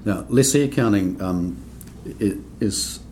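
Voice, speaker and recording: A man with a New Zealand accent and a deep, low voice talks through technical points at a steady, explanatory pace on a podcast. He hesitates often with ums and restarts. Faint hiss sits under the voice. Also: a little slow. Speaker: accounting standards board member. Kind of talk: podcast discussion.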